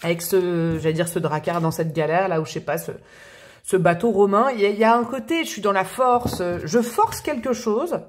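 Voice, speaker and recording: A chatty woman talks straight to her viewers during a tarot reading. Her delivery is lively and expressive, with playful stresses and the odd laugh. Her pitch sits mid-range. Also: brisk. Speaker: tarot reader. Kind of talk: casual tarot reading to camera.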